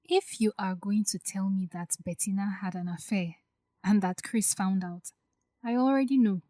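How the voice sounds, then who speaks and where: A woman's voice: medium pitch, normal speed, dark tone, no room sound, clean studio sound, close to an everyday voice. A woman playing a guarded, insecure young woman who confides earnestly, in an audio drama. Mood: bitter, resigned.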